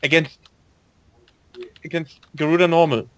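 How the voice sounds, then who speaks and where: A man's voice: on the high side, steady tone, unhurried, fairly bright tone. A man chatting casually with friends in a voice call while playing an online game, restarting his sentences often. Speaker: gamer in voice chat.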